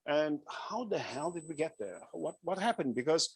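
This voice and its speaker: A man's voice: fairly high pitch, expressive, moderate pace, gravelly, a little mellow, slightly rough, no echo. A Portuguese man with a Portuguese accent giving an informal conference presentation, joking at his own expense and using many fillers. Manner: informal, self-deprecating, with frequent fillers and restarts. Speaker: conference speaker. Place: conference room.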